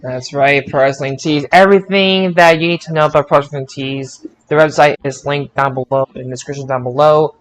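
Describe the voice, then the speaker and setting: A man's voice: a little high, steady tone, a little fast, hardly any pauses, rough recording. A man hosting a podcast in a casual, chatty way, often stumbling and repeating his words. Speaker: podcast host. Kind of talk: casual podcast chat and sign-off.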